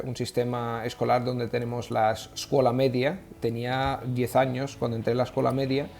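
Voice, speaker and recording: A middle-aged man with an Italian accent answers interview questions with a gravelly edge to his voice. He talks in a relaxed, darker tone and keeps pausing on fillers as he gathers his thoughts. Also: mid-range voice. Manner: hesitant, many fillers and restarts. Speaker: guest, language school founder. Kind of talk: casual interview conversation.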